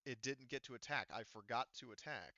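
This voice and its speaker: A man's voice: medium pitch, a little fast, pushed and bright, no echo, dull audio. A man acting as game master, casually narrating a tabletop roleplaying game, often stopping to correct himself.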